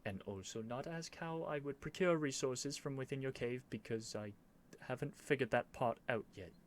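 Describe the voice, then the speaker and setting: A man's voice: medium pitch, moderate pace, slight background noise, close to an everyday voice. A man playing a stubborn, flustered young prince with haughty, theatrical phrasing in an ASMR roleplay. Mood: exasperated, scheming.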